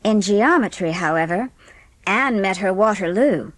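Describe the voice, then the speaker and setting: Husky woman narrating a storybook. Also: medium pitch, animated, unhurried, no room sound.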